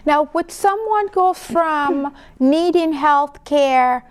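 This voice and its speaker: A woman's voice: high voice, slow pace. A woman hosting an interview, asking questions and affirming answers with short acknowledgements. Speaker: interview host.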